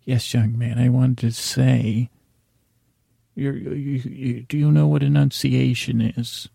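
A man's voice: mid-range voice, level tone, slow pace, vocal fry, warm tone, breathy edge, no echo, clean studio sound, exaggerated voice. A man voicing a prim elderly woman, a retired English teacher who interrupts and corrects in a comedy podcast. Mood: prim disapproval. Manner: interrupting, correcting, addresses host as "young man".

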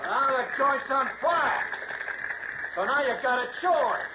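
A man's voice: extremely high pitch, animated, slow, roomy sound, heavily acted. An actor in a vintage radio drama playing a vengeful male cop, hard and threatening. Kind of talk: 1960s radio drama acting. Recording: dull vintage radio broadcast, faint hiss.

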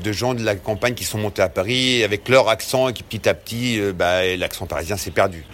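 Man from Normandy, casual halting testimony, lowish voice, faint ambience. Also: a bit bright, a little hiss or hum. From Perche, Normandy. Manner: hesitant, many restarts and fillers. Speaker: cheesemonger interviewee.

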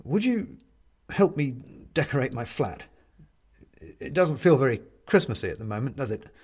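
A man voicing a flustered, awkward, newly softened man who stammers hesitantly in an audiobook story. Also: medium pitch, very animated, moderate pace, slightly rough, pitched above the performer's own voice. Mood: awkward, eager.

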